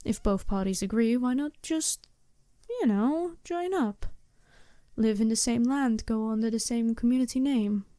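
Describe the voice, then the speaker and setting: A smooth, seductive female werewolf bride, acted by a woman in a soft-spoken ASMR roleplay. Her voice sits fairly high yet stays mellow, and she talks in polite, formal, businesslike phrases. The sound is dry and close. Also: dry recording, natural voice. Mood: frank, measured. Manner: polite, measured, formal wording.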